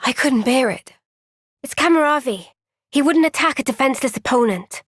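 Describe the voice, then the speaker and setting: A young fighter girl in a game cutscene, high pitched, unhurried, sincere and firm, really dry sound. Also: animated, no echo. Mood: sincere, earnest. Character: earnest, gentle but firm young woman fighter. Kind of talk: video game cutscene voice acting.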